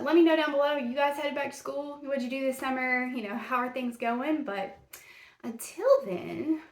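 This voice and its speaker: A woman's voice: high-pitched, roomy sound. A woman with a Middle Tennessee Southern accent hosting a true crime podcast in a folksy, rambling, self-deprecating way, full of fillers. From Tennessee, USA. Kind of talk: casual chatty podcast. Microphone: camera and mic.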